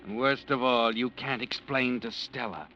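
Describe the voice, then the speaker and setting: Brooding male narrator for an old radio thriller, bright edge to the voice, played by a man, muffled lo-fi sound. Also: mid-range voice, moderate pace, slight background noise, natural voice. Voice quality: brooding, insinuating. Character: ominous second-person narrator, male. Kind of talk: 1940s radio drama narration.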